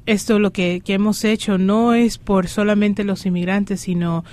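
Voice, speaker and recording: A young woman in her twenties from Ecuador, a guest activist, speaking proudly and deliberately. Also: mid-range voice, fairly even intonation, a little mellow.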